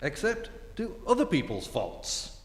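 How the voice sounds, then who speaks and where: A man's voice: high-pitched, huge pitch swings, measured pace, heavily acted. A man acting a breezy, suave, sardonic ex-husband in a radio play, teasing and articulate. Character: breezy, sardonic, suave ex-husband needling his former wife. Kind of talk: radio play re-enactment on stage. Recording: echoey theatre hall, live stage.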